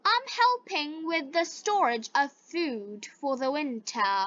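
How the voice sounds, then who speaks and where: A Bengali-speaking woman teaching English, reading phrase by phrase with deliberate enunciation in a repeat-after-me lesson style. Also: sits high, big pitch swings, a bit bright, lo-fi sound. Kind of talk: slow read-aloud English lesson with repeat-after-me drills.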